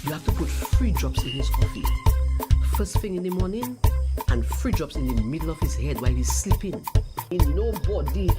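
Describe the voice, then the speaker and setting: A woman's voice: fairly low pitch, expressive, moderate pace, lightly acted. A woman playing a scheming folk spell-giver with a theatrical, incantatory delivery in a TV drama. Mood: mysterious, instructing. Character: scheming folk spell-giver chanting and prescribing love potion. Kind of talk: TV drama recap dialogue. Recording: loud upbeat music over voice.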